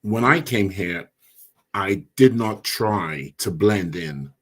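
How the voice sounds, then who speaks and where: A middle-aged immigrant man hosting a podcast, speaking in a measured, deliberate way. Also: on the low side, expressive, breathy edge. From immigrant, came as a child. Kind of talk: casual discussion.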